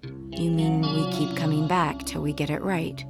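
A woman's voice: deep voice, flat delivery, measured pace, loud music behind the voice. A woman playing an earnest, troubled young artist in a naturalistic audio drama. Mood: earnest, probing. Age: young adult.

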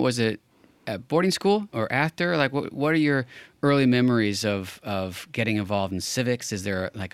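A man hosting a podcast in a conversational way, often pausing partway through his phrases as he speaks. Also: mid-range voice, clean tone, no room sound. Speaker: podcast host.

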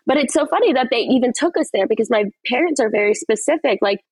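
A woman's voice: a little high, animated, quick pace, a little mellow, husky, dry recording. A young woman, an actress guest on a podcast, chatting and telling stories with frequent laughs and lots of "like". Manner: chatty storyteller, laughs often, fillers like "like". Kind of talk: casual interview chat.

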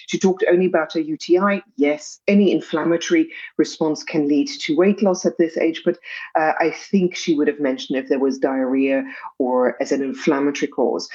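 A woman's voice: low voice, mellow, lo-fi sound. A woman with a South African accent, a pediatric dietitian answering interview questions and working through possible causes one by one. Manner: measured, lists factors one by one. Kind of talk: expert explaining in a podcast interview.

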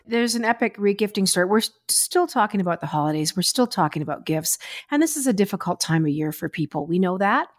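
A woman's voice: medium pitch, expressive, fast, dry recording, studio quality. An older woman hosting a podcast, chatting casually and telling stories. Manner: chatty, storytelling. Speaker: podcast host. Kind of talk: casual chat.

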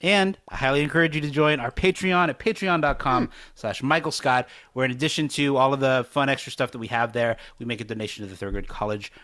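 A man with a medium-pitched voice co-hosts a podcast. He talks in an easy, casual chat style. His manner is polite and appreciative. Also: fairly even intonation, fast talker, fairly bright tone. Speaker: podcast co-host. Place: recorded over video chat.

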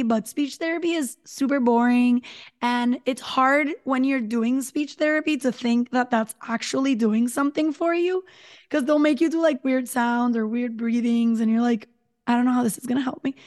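A woman in her thirties with a hoarse voice, telling her own story as a guest in a podcast interview, chatty and full of "you know" and "like". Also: fairly high pitch. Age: 30s.

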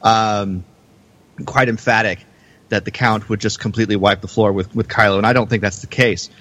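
A man hosting a casual podcast, talking in a halting way with repeated words. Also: lowish voice, fairly even intonation, fairly bright tone. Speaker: podcast host.

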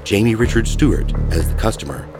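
Horror narrator, very low male voice, measured, grave, scripted delivery, music behind. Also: expressive. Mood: formal announcing. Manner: measured, ominous.

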